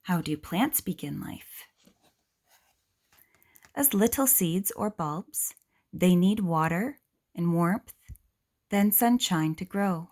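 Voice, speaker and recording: A woman reads a children's picture book aloud in a gentle, explanatory way, asking questions and adding asides for young listeners. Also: on the low side, expressive, slow, pauses often.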